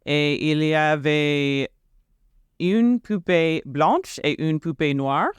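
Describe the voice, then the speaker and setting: A man interviewing a guest on a podcast, speaking haltingly with frequent fillers. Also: fairly high pitch, clear, resonant tone, dry recording, clean studio sound. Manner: slow and halting, frequent "euh". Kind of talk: interview questions and reactions.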